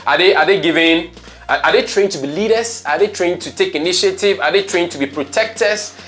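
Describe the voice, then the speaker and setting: A man's voice: high-pitched, fairly quick, fairly bright tone. A man with a Ghanaian accent hosting a talk show in an informal, chatty, questioning way, slipping into Pidgin phrases.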